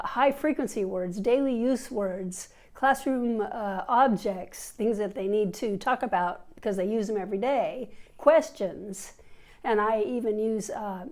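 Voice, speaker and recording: A woman's voice: a little high, darkish tone. A woman in her fifties with a cold, giving a chatty teacher workshop on a lapel mic with fillers and restarts. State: has a cold. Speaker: language teacher. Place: indoors, seated in an office chair before a plain wall.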